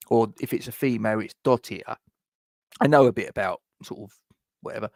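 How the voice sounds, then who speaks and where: A middle-aged man chats casually on a live stream, reading out viewer comments. His mid-range voice has a gravelly, fry-laden texture. He rambles, fills pauses with "um", and restarts his sentences. The sound is dry and close, with little room echo. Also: mid-range voice. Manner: rambling, chatty, many fillers and restarts.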